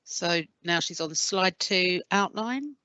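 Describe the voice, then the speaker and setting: A woman moderating a video meeting, prompting people in a brisk, practical way. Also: lowish voice, animated, pushed and bright, clean tone, no room sound, highs cut off. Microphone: video call.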